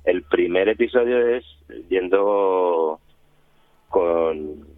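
Deep-voiced man on a phone-like line. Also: drawn-out words, faint music behind. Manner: hesitant, many restarts. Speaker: radio documentary producer. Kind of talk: interview talk.

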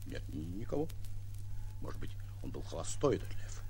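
An actor playing a pompous, excitable, boastful would-be lion hunter in a bombastic, theatrical 1940s radio comedy style, often muttering to himself. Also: male voice, low voice, fairly quick, gravelly, heavy background noise, heavily acted. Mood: puzzled, self-important. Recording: old 1940s radio recording.

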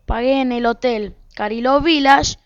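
A woman's voice: fairly high pitch, unhurried, smooth. A woman playing an easygoing husband and father in an amateur radio drama. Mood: matter-of-fact. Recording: muffled, noisy lo-fi home recording.

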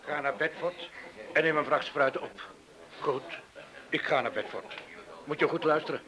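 A man's voice: fairly high pitch, normal speed, natural voice. An actor in a radio drama playing a grumbling lorry driver telling his tale. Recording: crowded cafe chatter behind voice.

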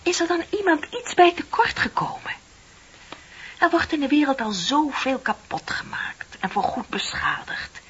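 A woman's voice: high-pitched, very animated, a little slow, fairly bright tone, heavily acted. Radio drama, a lonely, needling middle-aged working woman; confiding, sardonic, on dull old tape with faint hiss. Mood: accusing, insistent. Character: bitter, lonely middle-aged female office worker, needling a colleague. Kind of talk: Dutch radio play acting.

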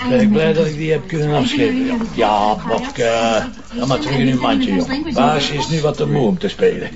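A man's voice: a little high, big pitch swings, normal speed, lo-fi sound, over a noisy crowd. A man playing a wry Flemish police commissioner, talking in a colloquial, teasing way in a television crime drama. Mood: tipsy, playful. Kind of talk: naturalistic TV crime drama dialogue.

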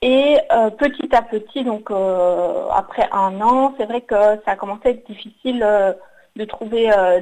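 A woman's voice: mid-range voice, darkish tone, rough recording. A woman in her thirties from Belgium, speaking as a radio interview guest, telling her story hesitantly with many fillers. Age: 30s. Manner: hesitant, frequent "euh" fillers and restarts. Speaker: guest, work psychologist. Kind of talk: radio interview, personal account.

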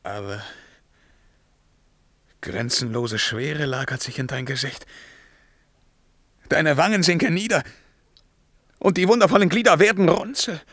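An actor playing a tormented emperor in a German verse radio drama, declaiming solemnly and moving between hushed and crying out. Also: male voice, on the high side, a little slow, pauses often, dry recording. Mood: defiant, then horrified. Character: tormented Byzantine emperor breaking free of a witch's spell.

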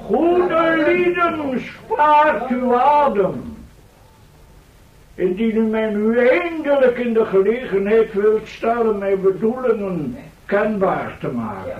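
An actor playing a grandiloquent professor in a radio play, orating to townsfolk in florid, drawn-out, declamatory phrases. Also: male voice, very high-pitched, animated, recorded from a distance, full character voice. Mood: solemn. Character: grandiloquent male professor from the future addressing townsfolk. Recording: dull echoey radio recording, crowd noise.

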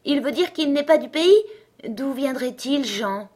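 A woman's voice: a little high, moderate pace, pitched above the performer's own voice, close to an everyday voice. A woman voicing a sweet, eager and generous little girl in a storybook reading. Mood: curious.

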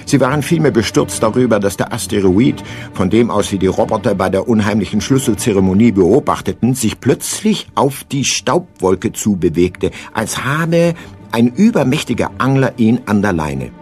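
A male narrator reading a scripted radio play. His voice is on the low side and moves expressively up and down, with faint music behind it. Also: expressive, normal speed, darkish tone.

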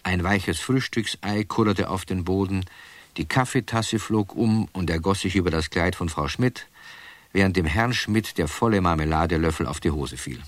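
A man narrating a children's radio play as a scripted storyteller, in measured sentences. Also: sits low, no room sound.

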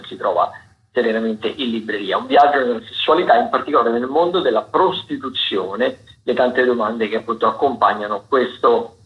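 Halting male radio host, roomy sound. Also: medium pitch. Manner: halting, digressive, self-correcting. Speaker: radio host presenting theatre listings. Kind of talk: informal spoken radio listings of upcoming shows. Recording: roomy, slightly dull radio broadcast sound.

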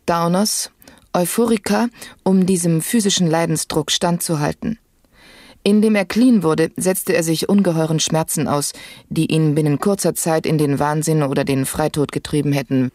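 A woman's voice: sits low, darkish tone, no room sound, clean studio sound. An actress playing a middle-aged, matter-of-fact and weary woman doctor in a dystopian satirical radio play. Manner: clinical, didactic, resigned. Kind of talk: satirical science fiction radio play acting.

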